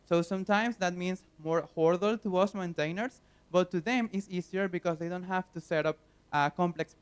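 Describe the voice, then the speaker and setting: A man's voice: sits high, smooth. Venezuelan man giving a conference talk. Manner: earnest, plain explaining with frequent fillers. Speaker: conference speaker. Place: conference hall.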